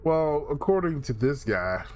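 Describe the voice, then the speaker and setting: A man's voice: a little high, very animated, measured pace, soft background music. A man hosting a let's play, thinking aloud in casual, rambling, slangy commentary.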